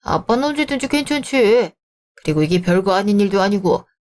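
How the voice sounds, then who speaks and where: A woman's voice: mid-range voice, animated, a little fast, close to an everyday voice. A woman voicing a fond, doting father in a radio drama. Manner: warm, fond. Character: doting widowed father, fond older man.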